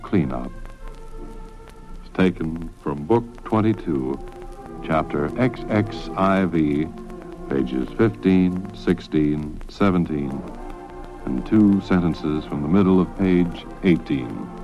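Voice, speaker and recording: Super deep deadpan radio announcer, pompous and touchy, muffled old tape. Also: male voice, takes it slowly, soft background music, heavily acted.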